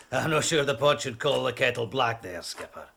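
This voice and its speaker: An actor playing a rough, sly old Scottish sailor in an audio drama, muttering his yarn hoarsely with sudden swells. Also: medium pitch, animated, normal speed, bright, forceful tone, a little hiss or hum. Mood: sly, threatening.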